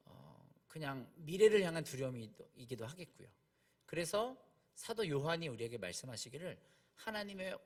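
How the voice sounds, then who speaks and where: A man preaching a conversational sermon, explaining as he goes, repeating key points and posing rhetorical questions. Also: a little high.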